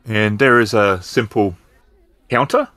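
Male game master, low register, dry sound, descriptive and a little hesitant. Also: a little slow, sharp tone, no echo. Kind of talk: narrating a tabletop roleplaying game.

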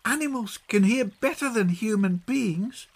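Man voicing a high-pitched, bossy little storybook girl. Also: expressive, unhurried, heavily acted. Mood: earnest, bossy. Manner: assured, instructive, takes the lead. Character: Peggy, a bossy, resourceful young girl. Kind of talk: children's storybook reading.

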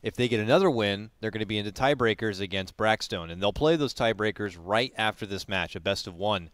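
A man's voice: lowish voice, brisk, a bit bright, no echo. A man casting a live esports match with an upbeat manner, laughing often, on a headset in a small studio. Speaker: esports caster. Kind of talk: live game commentary. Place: small decorated studio set.

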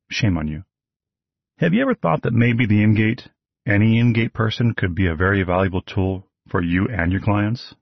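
Man, podcast host, husky low voice, direct and wry, dull dry lo-fi recording. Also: no echo. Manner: direct, advice-giving, wry asides. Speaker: podcast host, horse show announcer. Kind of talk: scripted podcast monologue.

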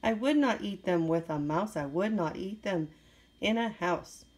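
A woman reads a children's picture book aloud in a medium-pitched voice. She keeps a sing-song rhythm and acts out the dialogue like a teacher reading to young children. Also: measured pace, darkish tone.

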